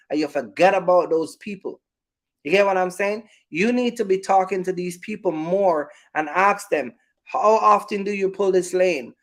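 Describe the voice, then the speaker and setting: A man talking informally to viewers as a business vlogger, giving emphatic, repetitive advice and often checking that they follow. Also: high-pitched.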